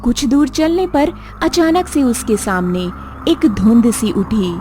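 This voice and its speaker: Slow, mellow woman storyteller. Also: a little high, sing-song, faint music behind. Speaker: narrator. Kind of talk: scripted story narration.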